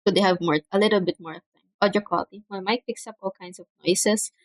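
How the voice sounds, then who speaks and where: A young woman from the Philippines chats casually on her livestream, speaking softly with frequent pauses and fillers. Her cheap mic gives a dry, close sound in a room at home. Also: mid-range voice, steady tone, no echo. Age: young adult. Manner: soft-spoken, hesitant, many fillers. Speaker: streamer. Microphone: cheap desk mic.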